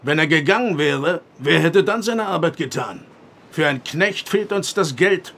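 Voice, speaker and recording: An actor playing a stern, pious farmer father in a radio drama, gravelly and formal in speech. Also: a little high, lively intonation, moderate pace, a bit bright, exaggerated voice. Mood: troubled, softer. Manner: formal, commanding.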